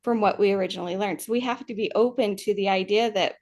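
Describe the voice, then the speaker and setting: A woman's voice: medium pitch, fast talker, darkish tone. A woman co-hosting a podcast, explaining her thoughts in an earnest, reflective, casual way. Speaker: podcast co-host. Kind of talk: casual chat.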